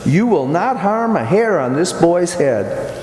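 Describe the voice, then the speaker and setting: Man acting a mellow, patient straight man. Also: sits high, very animated, slow, full character voice. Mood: mildly scolding. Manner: polite, mildly scolding. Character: patient, fussy straight man and guardian of dummy. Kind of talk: old-time radio comedy acting. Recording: echoey hall, noisy background.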